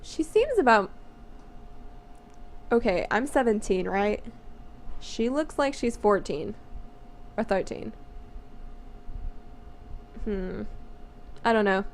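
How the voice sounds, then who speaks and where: A woman's voice: medium pitch, sing-song, takes it slowly, pauses often. A woman of nineteen reading a visual novel script aloud, playful and chatty with casual asides. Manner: giggly, playful, easily sidetracked. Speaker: visual novel let's player. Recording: noisy home recording.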